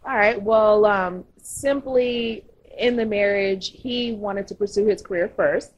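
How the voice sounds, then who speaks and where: A woman's voice: mid-range voice, measured pace, low-quality recording. A woman from the United States, a model, answering interview questions frankly and laughing easily. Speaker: guest model.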